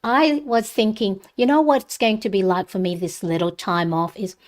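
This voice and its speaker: Middle-aged woman from the philippines chatting through her planner on a live stream, rambly, lots of ums and little laughs, mid-pitched voice. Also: fairly even intonation, normal speed, darkish tone. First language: Tagalog. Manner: chatty and rambling, with frequent fillers and light laughs. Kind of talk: casual live-stream chat while planning.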